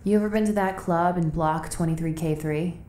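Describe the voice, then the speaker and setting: A woman's voice: lowish voice, steady tone, fairly quick, background noise, lightly acted. An actress playing a wary, defiant young rebel woman, acting and narrating in a cyberpunk radio drama. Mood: dry, guarded. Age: young adult.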